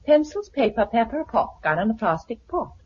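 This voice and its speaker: Spirited survivor's wife, British, caring, very animated high pitch, 1950s radio drama, dull vintage sound. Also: female voice, brisk, breathy edge. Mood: playful, coaxing. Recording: muffled 1950s radio recording.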